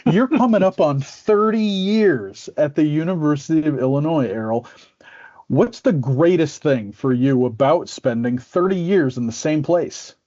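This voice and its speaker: A man's voice: on the high side, lively intonation, unhurried, warm tone, lo-fi sound. A man hosting an interview podcast in a playful, joking way. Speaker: podcast host.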